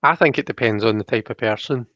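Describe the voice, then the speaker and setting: A young man chats as a live stream host, with an ordinary mid-pitched voice. He rambles and thinks aloud in a casual way. The sound is dry and slightly dull. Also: quick pace, a bit bright, no room sound. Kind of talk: casual chat, reading out viewer comments.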